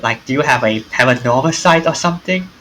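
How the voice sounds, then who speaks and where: A man's voice: mid-range voice, animated, fairly quick, sharp tone, slight background noise, low-quality recording. A man voicing a curious, casual, questioning man, the protagonist of a visual novel. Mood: incredulous.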